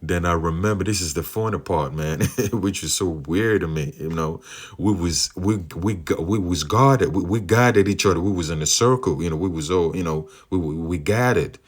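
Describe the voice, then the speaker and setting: Very deep-voiced man recounting dreams. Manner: earnest, many fillers, slow drawn-out phrasing. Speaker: believer sharing dream visions. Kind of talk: rambling personal testimony.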